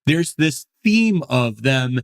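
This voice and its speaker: A man hosting a podcast from his office, upbeat and chatty, with a hoarse voice from a cold. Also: medium pitch, very animated, slow pace. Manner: upbeat and chatty, with many fillers. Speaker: podcast host. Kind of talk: casual interview.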